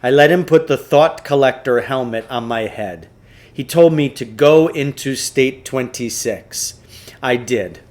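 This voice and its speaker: A man reading a storybook aloud as narrator, in a deliberate, expressive way. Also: mid-range voice. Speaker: teacher reading a book aloud.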